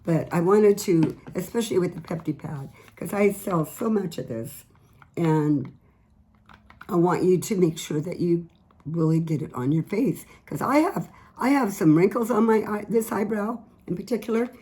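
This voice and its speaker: A middle-aged woman, a little raspy today, chatting earnestly about skin care products she sells. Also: fairly low pitch, moderate pace, warm tone. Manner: chatty, earnest, repeats words. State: slightly raspy voice. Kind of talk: casual product tips vlog.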